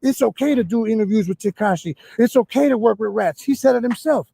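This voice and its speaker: A man's voice: high voice, animated, brisk, creaky, dark tone, slightly rough, dry recording. A man making an insistent, repetitive point in casual argumentative conversation, heard on a noisy played-back recording.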